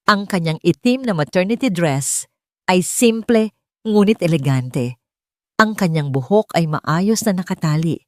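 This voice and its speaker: Low-voiced Filipino woman narrating a dramatic story. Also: a little mellow, no echo, studio quality. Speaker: audiobook narrator.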